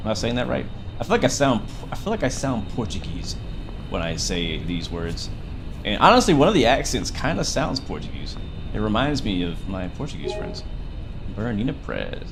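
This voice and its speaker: Young man, lower voice, excitable chatty streamer, train rumble underneath. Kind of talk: casual live-stream commentary. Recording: game train noise underneath.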